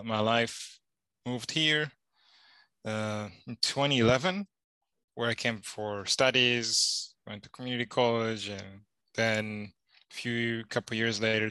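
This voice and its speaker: A man's voice: lowish voice, smooth, dry recording. A young man from Rwanda, a guest in a podcast conversation, talking casually and a little hesitantly with frequent fillers. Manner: soft-spoken, hesitant with many fillers.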